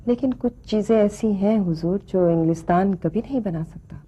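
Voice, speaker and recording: An actress as a husky, honey-tongued courtesan mother. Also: mid-range voice, moderate pace, close to an everyday voice. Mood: coaxing deference. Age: middle-aged. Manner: deferential, honeyed, elaborately polite. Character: courtly, flattering, sly courtesan mother quoting poetry. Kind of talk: screen drama acting in ornate, courtly speech. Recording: dull, lo-fi television film sound.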